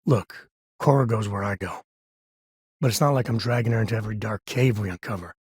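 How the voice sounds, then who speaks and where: A man's voice: fairly low pitch, a little fast, darkish tone, slightly rough, no room sound, clean studio sound. An actor playing an easygoing, wry, middle-aged spacefaring adventurer and father in a video game, talking in a folksy, colloquial way. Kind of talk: video game character dialogue.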